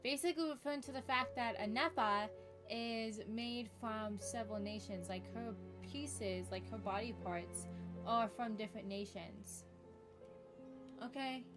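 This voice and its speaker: A woman's voice: on the high side, a little slow. Woman streamer reacting over game music. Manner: excitable exclamations, blunt asides. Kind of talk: casual reactive playthrough commentary. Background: game music and dialogue.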